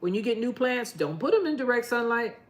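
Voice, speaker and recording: A woman's voice: fairly high pitch, very animated, fairly quick. A middle-aged woman from New York chatting casually to her gardening channel, rambling and laughing often. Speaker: gardening vlogger. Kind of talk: casual chat to camera.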